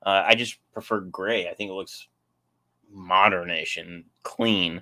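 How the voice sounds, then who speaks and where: A man's voice: sits low, fairly even intonation, measured pace, pushed and bright. A young man casually hosting a live stream, rambling and answering chat, his voice getting sore.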